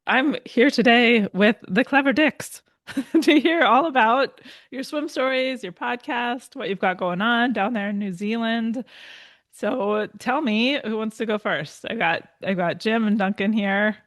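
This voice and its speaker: A woman's voice: a little high, a little slow, fairly bright tone, no echo, studio quality. A woman hosting a podcast, chatting casually, asking curious questions and laughing often. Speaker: podcast host. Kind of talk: casual interview chat.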